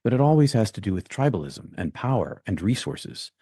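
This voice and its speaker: Deep mellow american guy, guest on an interview, kinda rambly, lots of ums. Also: very animated, a little fast, slightly rough, no room sound, clean studio sound. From United States. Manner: rambling, thinks aloud with many ums and restarts. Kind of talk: reflective conversational interview.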